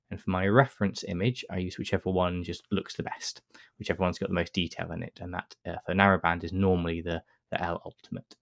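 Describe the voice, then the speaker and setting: Deep gravelly man with a stuffy cold. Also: no room sound. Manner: relaxed step-by-step explaining with many uh fillers. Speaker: astrophotography tutor. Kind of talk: screen-recorded software tutorial.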